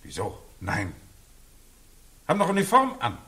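A pompous middle-aged German colonel in an old radio play. His voice is sharp, husky and very animated, with clipped, condescending military phrasing. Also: mid-range voice, measured pace, heavily acted. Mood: irritated, condescending. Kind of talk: 1940s German radio drama acting. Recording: 1940s radio drama recording.

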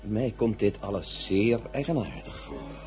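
An actor playing a formal, cautious, self-important brother-in-law in a radio drama, with a stiff, pompous manner. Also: male voice, lowish voice, sing-song, measured pace, music in the background. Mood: pompous, doubtful.

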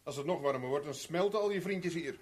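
An actor playing a cool-headed, commanding private investigator in a 1970s Dutch radio drama, speaking tersely and with urgency. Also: male voice, on the high side, fairly quick, husky. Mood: controlled, sardonic. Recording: noisy vintage 1970s radio recording.

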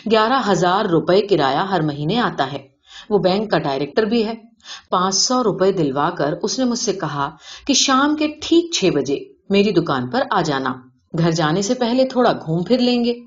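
A woman's voice: mid-range voice, expressive. A woman reading a scripted short story as an audiobook narrator, in a recording with dull, band-limited sound.

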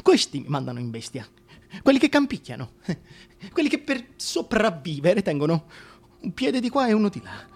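High voiced sardonic tyrant, radio play monologue, volatile and bitter, bit of music behind. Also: male voice, full character voice. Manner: sardonic, volatile, swinging from hushed musing to outbursts.

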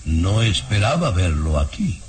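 A man's voice: sits low, big pitch swings, a little slow, very noisy. A man acting a haughty middle-aged baron in an old Mexican radio serial, with a pompous, insistent, formal manner. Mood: evasive, smug.

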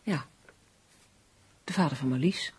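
Scared tense woman in old radio drama, husky unclear sound, protests a lot. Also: sits low, a little slow, a little mellow, exaggerated voice. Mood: tense, insistent. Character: anxious woman menaced by threatening anonymous letters. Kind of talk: Dutch radio play acting.

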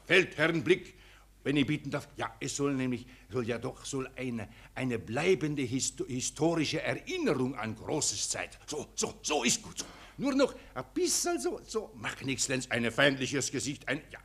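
Fawning, husky foreign press photographer. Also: male voice, mid-range voice, big pitch swings, moderate pace, a bit bright, full character voice. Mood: fussy, excited. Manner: obsequious, repetitive, fussily directing a pose. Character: fawning, pushy male press photographer with broken German. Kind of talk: 1940s German radio play acting.

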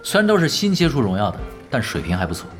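An unruffled, confident male team leader in an audio drama. He talks quickly and offhandedly with animated, dryly teasing pitch swings over music. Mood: offhand, confident. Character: laid-back, confident male pro gamer and team leader.

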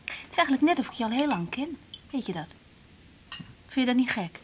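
An actress with a Netherlands Dutch accent playing a tender, shy young doctor, hesitant and soft, in a 1980s radio drama. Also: female voice, high-pitched, big pitch swings, brisk, a little hiss or hum. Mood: tender, moved. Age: young adult. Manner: hesitant, soft, repeating words. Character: tired young woman doctor, tender, slightly shy dinner guest.

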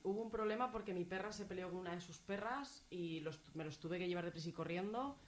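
A woman's voice: lowish voice, fast, a little hiss or hum. A woman from La Mancha with a Manchegan Spanish accent, telling a personal story in casual radio chat, emotional and rambling. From La Mancha, Spain.

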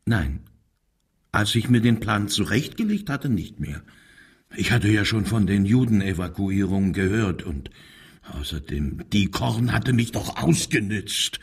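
An actor playing an evasive, bigoted, self-pitying murderer making a halting, defensive confession in a German radio crime drama. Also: male voice, fairly low pitch, lively intonation, gravelly, husky, heavily acted. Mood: cold, matter-of-fact. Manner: halting, defensive, wavering between muted and agitated.

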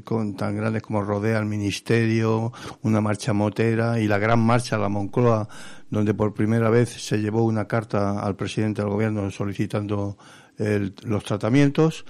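A man from Spain, an activist guest on a radio interview, giving an earnest explanatory account with halting pauses. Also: lowish voice, darkish tone, no echo. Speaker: interview guest, patients' association vice president and activist. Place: radio studio.

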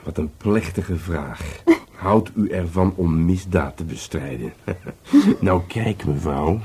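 A musing police detective in an intimate radio drama. His voice is low, dark and husky. He talks in a hesitant, wry way, as if thinking aloud. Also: slow pace, heavily acted. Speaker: actor in a radio play. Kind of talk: Dutch radio drama dialogue.

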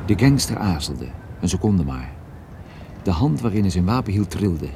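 An actor playing a hard-boiled private detective who narrates a radio play in a dry, wry, laconic way. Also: male voice, sits low, sing-song, moderate pace, noisy background. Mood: wry, tense. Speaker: narrator and protagonist.

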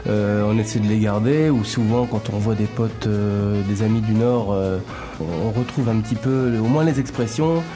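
Guest, male, lowish voice, halting casual talk, music under it. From northern France. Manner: hesitant, many fillers and restarts. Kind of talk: casual conversation.

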